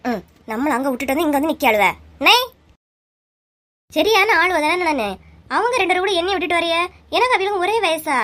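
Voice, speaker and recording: A woman's voice: very high voice, expressive, brisk, pauses often, fairly bright tone. A woman voicing an indignant older sister in a cartoon comedy, grumbling in a Kanyakumari Tamil accent. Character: indignant older sister resenting being left out.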